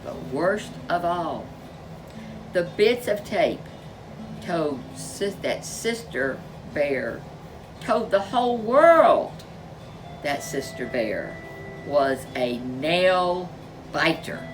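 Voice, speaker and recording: A woman storyteller reading a children's picture book aloud, expressively, with drawn-out emphasis and chatty asides. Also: fairly low pitch, sing-song, background noise. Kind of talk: expressive read-aloud narration for young children.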